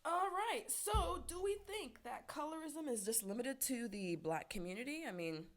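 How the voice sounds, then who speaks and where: A woman from Jamaica co-hosting a casual discussion, speaking in relaxed slang. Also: high voice, moderate pace, faint music behind. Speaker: podcast co-host.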